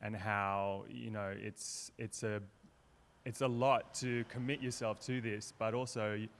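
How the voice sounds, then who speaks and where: A man's voice: fairly low pitch, flat delivery, measured pace, vocal fry, a little hiss or hum. A man, explaining his work casually and thoughtfully as an interview guest. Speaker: theatre maker being interviewed.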